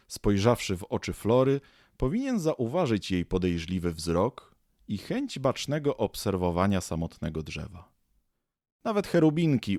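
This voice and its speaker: Low-voiced man narrating a story. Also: a little mellow, no room sound, studio quality. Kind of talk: scripted storybook narration for a radio play.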